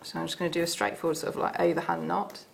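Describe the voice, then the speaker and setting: A woman's voice: on the low side, level tone, racing, gravelly, slight background noise. A woman demonstrating a bracelet-making craft on a studio show, explaining each step with hesitant restarts and fillers.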